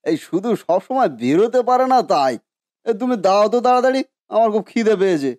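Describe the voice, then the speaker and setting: Man putting on an excitable, high-pitched hungry young customer. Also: a little fast, dry recording, clean studio sound. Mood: eager, hungry. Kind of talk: Bengali cartoon story voice acting.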